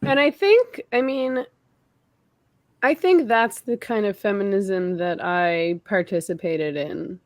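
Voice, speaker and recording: A woman with a Canadian accent, hosting a casual interview. She sounds relaxed and chatty and laughs easily. The recording is dry and close, with no room sound. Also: mid-range voice, slow pace, no echo. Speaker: podcast host.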